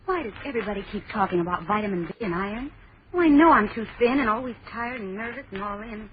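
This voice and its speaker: Young woman customer played by an actress, quick delivery, 1940s radio commercial, muffled sound. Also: medium pitch, animated, natural voice. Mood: fretful, curious. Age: young adult. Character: young lady in a tonic ad, fretful then peppy. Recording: muffled, hissy vintage radio broadcast.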